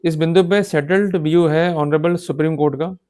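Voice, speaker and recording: A man's voice: fairly high pitch, steady tone, fairly quick, dark tone. A man lecturing in an explanatory style, emphatic and exhortative, often checking that his listeners are following. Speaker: law lecturer. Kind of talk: explanatory lecture mixing Hindi with English legal terms.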